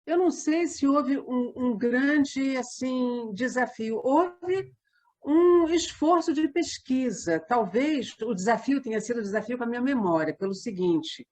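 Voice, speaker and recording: A woman's voice: fairly high pitch, lively intonation, a little slow, darkish tone. A Brazilian woman answering interview questions in a conversational way, telling things reflectively and in detail. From Minas Gerais, Brazil. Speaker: interview guest, radio drama writer and set designer.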